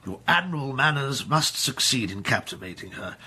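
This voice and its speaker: An actor playing a wily, pompous older aristocrat in a period radio drama, with a husky, gravelly, effusive delivery. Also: male voice, medium pitch, expressive, a little fast, bright, forceful tone, full character voice. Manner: pompous, effusive, hesitating for effect. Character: blustering, wily older aristocrat, an impecunious earl and father.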